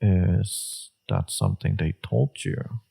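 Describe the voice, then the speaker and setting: Man acting a gravelly, husky, flirtatious villain. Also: wildly swooping pitch, mellow, full character voice. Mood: gentle, probing. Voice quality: low, husky, gravelly, breathy. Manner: slow, teasing, murmured delivery. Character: flirtatious teasing male villain, secretly tender and caring. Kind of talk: romantic audio roleplay, intimate scripted acting.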